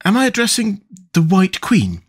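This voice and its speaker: A man's voice: fairly high pitch, very animated, normal speed, fairly bright tone, voice pushed up. A man voicing a polite, puzzled, sensible young girl in a storybook, with a courteous, slightly timid manner. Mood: polite, helpful. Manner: courteous, timid yet reasoning. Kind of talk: storybook character voice.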